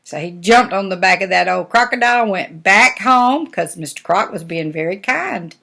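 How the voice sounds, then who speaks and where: A woman's voice: medium pitch, expressive, normal speed, gravelly, sharp tone. An older woman, a grandmother reading a storybook to young children and chatting about it in a folksy, simple way. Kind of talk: storybook read-aloud with chatty asides to children.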